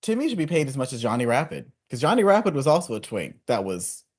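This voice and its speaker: A man's voice: medium pitch, big pitch swings, fast, darkish tone, husky. A young man co-hosting a podcast, casual and sassy with campy slang. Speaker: podcast co-host. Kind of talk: casual chat recapping a reality show.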